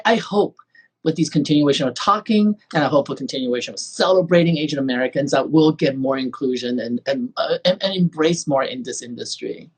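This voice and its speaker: A man's voice: a little high, breathy edge. A man hosting a talk show, effusive and rambling, with many restarts. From Taiwan. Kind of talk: casual interview chat.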